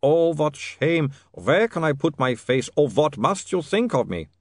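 A man's voice: a little high, sing-song, a little slow, dry recording, studio quality, voice pushed up. A man voicing an anguished, polite male vampire in an audiobook, with a trembling, wailing voice and melodramatic, pleading delivery. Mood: ashamed.

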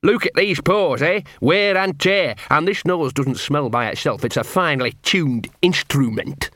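A man's voice: on the high side, lively intonation, a little slow, a bit bright, dry recording, clean studio sound, pitched above the performer's own voice. A man voicing a small scruffy male street dog who grumbles, feels sorry for himself and wheedles for rewards. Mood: wheedling. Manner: grumbling, self-pitying, coaxing.

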